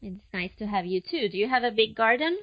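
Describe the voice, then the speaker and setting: A woman's voice: on the high side, expressive, a little fast. Woman podcast host, friendly interviewer, chatty, a bit muffled recording. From United States.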